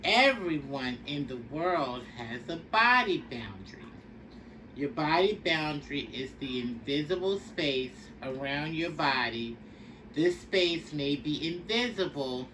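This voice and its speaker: Female storybook reader, instructive and very measured, slightly noisy recording. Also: low-pitched, fairly bright tone. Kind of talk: read-aloud of a children's picture book. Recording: distant mic with faint background noise.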